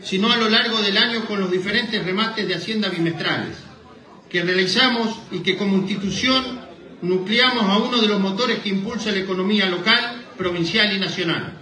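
A man's voice: high voice, moderate pace, pushed and bright. A middle-aged Argentine man formally reading out a prepared speech in Spanish at a microphone, stumbling now and then. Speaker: president of a rural association giving a speech. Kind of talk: formal speech read from paper. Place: indoor hall, at a lectern. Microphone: microphone on a boom stand, recorded from a distance. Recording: echoey hall PA, distant microphone.

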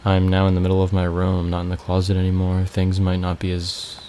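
Young guy reading an audiobook in his bedroom, raspy, deep, kinda monotone. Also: slight background noise. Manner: slow, casual intro then steady reading. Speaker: amateur audiobook reader. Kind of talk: audiobook narration.

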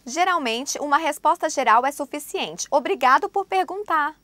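A woman with a high, lively voice narrates a language lesson from a script. She prompts each phrase clearly and at an even pace. The studio sound is dry and close. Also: normal speed, dry recording. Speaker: language course presenter.

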